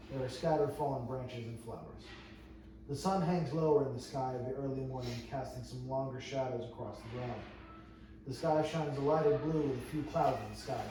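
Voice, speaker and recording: A man reading stage directions aloud at a script table read, as narrator. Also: mid-range voice. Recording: very echoey room, distant mic, noisy.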